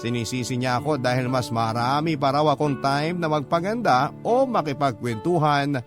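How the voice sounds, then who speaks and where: A man reading a first-person letter as a radio storyteller, in measured short phrases. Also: mid-range voice. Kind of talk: first-person scripted letter narration, classic radio style. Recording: clear guitar music bed underneath. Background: guitar music.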